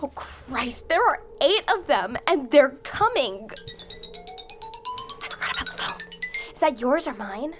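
Excitable, scared young woman, girlish and very high, music underneath, horror radio play. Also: a little slow, pauses often, exaggerated voice. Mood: frightened. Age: young adult. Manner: girlish, breathless pleading, slangy. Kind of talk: audio drama acting.

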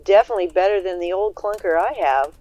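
A woman's voice: medium pitch, fast talker, warm tone, no room sound, close to an everyday voice. A woman playing a chatty, agreeable volunteer test subject in an audio drama, speaking casually. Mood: agreeable, curious.

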